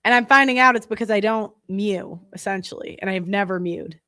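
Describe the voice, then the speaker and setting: A woman's voice: medium pitch, a little fast, pushed and bright. A young woman with a nasal voice and heavy breathing, chatting bluntly and casually to viewers as a podcast host. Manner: rambling, blunt, many fillers. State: breathing heavily.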